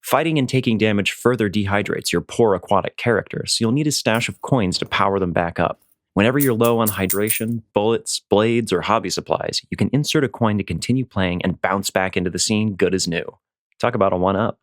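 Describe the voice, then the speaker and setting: A man's voice: lowish voice, brisk, no echo, clean studio sound. A man announcing a tabletop game promo in a playful, scripted style.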